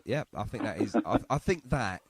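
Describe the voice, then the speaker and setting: A man's voice: fairly low pitch, very animated, moderate pace, husky. A man hosting a casual radio interview in a chatty, effusive way, often repeating his phrases. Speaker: radio show host.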